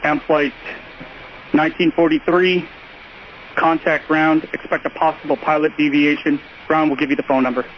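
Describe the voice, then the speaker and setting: A man's voice: on the high side, flat delivery, normal speed. A man working as an air traffic tower controller, giving clipped procedural instructions over aviation radio. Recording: muffled, lo-fi radio with faint noise.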